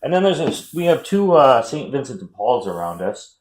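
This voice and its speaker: A man, chatting casually and rambling about a game haul with lots of ums and self-corrections; he says his voice runs fairly high. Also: sing-song, moderate pace, rough recording. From Wisconsin, US. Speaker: retro game collector vlogger.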